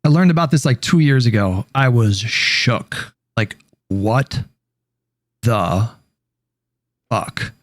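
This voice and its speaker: A man telling a story casually in a halting, informal way with some swearing, at times reading aloud. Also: medium pitch, expressive, no echo.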